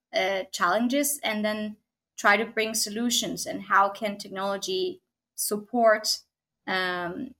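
A woman from Argentina, a technology consultant, speaking as a guest in a podcast interview, thoughtful, with frequent pauses and tag questions. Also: medium pitch, bright, forceful tone. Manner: thoughtful, many fillers and restarts, frequent "right?".

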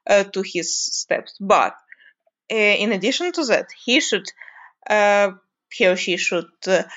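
A young woman from Ukraine with a Ukrainian accent, a native Russian speaker, giving an unscripted monologue with frequent hesitant pauses while she searches for words. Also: mid-range voice. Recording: dull lo-fi recording.

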